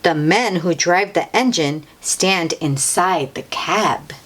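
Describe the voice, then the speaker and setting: A woman's voice: lowish voice, slow, fairly bright tone. A woman hosting a children's read-aloud, reading a picture book in a teacherly way, repeating and stressing key words and talking directly to the young listener.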